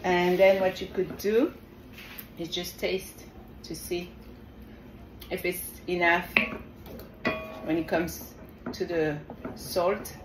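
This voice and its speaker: Woman, middle-aged, French West African accent, slow encouraging cooking-vlog delivery, heavy frying noise behind her. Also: on the low side, little pitch movement. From Senegal, grew up in the south of France. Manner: encouraging, addresses viewers affectionately. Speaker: vlogger presenting a home cooking demonstration. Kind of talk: casual instructional cooking vlog. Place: small home kitchen, indoors. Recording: loud sizzling and stirring kitchen noise. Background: frying, sizzling, stirring.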